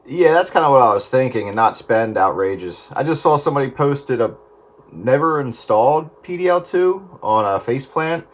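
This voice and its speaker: A man's voice: medium pitch, animated, moderate pace. A man chatting casually over two-way radio as a hobbyist. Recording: two-way radio with faint noise.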